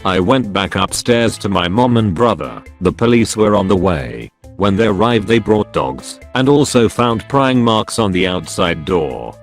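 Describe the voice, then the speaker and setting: A man reading out first-person stories as a steady, even narrator. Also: on the low side, unhurried, hardly any pauses. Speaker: narrator reading online posts. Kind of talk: scripted narration. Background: soft background music.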